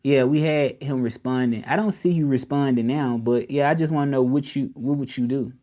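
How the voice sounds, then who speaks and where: A man with a Southern drawl from Memphis talks casually to a live stream audience. He rambles, repeats himself and keeps turning questions back to the viewers at a mid-range pitch. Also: fairly quick, warm tone. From Memphis, Tennessee, US South. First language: English.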